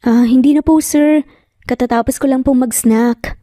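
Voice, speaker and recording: A woman's voice: sits high, steady tone, normal speed, no room sound, clean studio sound, lightly acted. A woman voicing a bitter, wounded and defiant young woman in an audio love drama, accusing and indignant. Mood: guarded. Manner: indignant, accusing, sharp rhetorical questions.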